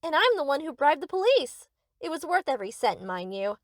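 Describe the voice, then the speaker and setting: A woman's voice: sits high, sing-song, fairly quick, no room sound, studio quality. A woman playing a sweet, eager, obsessive young yandere best friend in an anime-style audio roleplay, gushing and theatrical. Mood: proudly doting.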